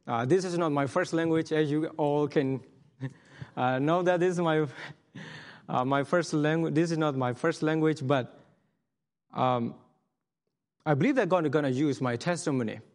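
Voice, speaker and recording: Earnest man preaching in a hall. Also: mid-range voice. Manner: earnest, halting, many restarts and fillers. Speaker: missionary speaker. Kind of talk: sermon testimony. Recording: long hall reverb.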